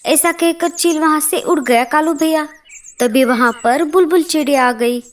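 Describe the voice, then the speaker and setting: A woman voicing a worried but brave mother bird in a cartoon, speaking in an earnest, expressive way. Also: high-pitched, normal speed, lightly acted. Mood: earnest recounting. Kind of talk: Hindi cartoon voice acting.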